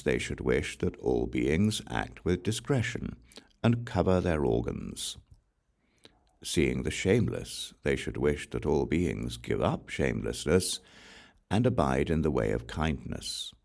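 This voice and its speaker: A man with an extremely deep voice reads scripture aloud in a solemn, measured way. His delivery is slow, yet the pitch rises and falls in a lively cadence. It is a scripted audiobook recitation. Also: vocal fry. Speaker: audiobook reader.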